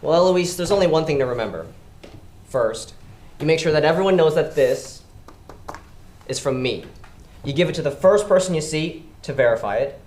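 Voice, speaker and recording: A man's voice: a little high, animated, normal speed, pauses often, a little mellow, reverberant, natural voice. Young man acting coaxing criminal schemer, swooping delivery. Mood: quietly commanding. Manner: coaxing, pointed, commanding. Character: smooth, persuasive male criminal schemer recruiting a reluctant worker. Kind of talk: stage play acting. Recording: noisy live stage recording.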